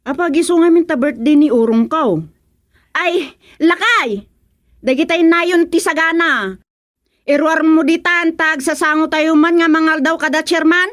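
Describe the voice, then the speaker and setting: A woman's voice: very high voice, measured pace, fairly bright tone. An actress playing a bustling, hospitable village wife who exclaims and bosses her husband, with an Ilocano accent, in a radio comedy-drama. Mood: chatty, insistent. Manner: exclaiming, chatty, insistent.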